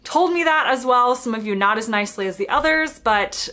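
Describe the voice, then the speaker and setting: A woman's voice: a little high, lively intonation, a little fast, pushed and bright. A young American woman from Florida, chatting casually and upbeat to camera in her vlog. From United States (Florida). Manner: chatty, upbeat, lots of fillers. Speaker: vlogger.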